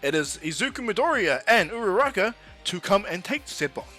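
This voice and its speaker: A man voicing a hearty superhero teacher in an anime story, with grand, excited, drawn-out announcing over music. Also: high voice, pitched above the performer's own voice, heavily acted.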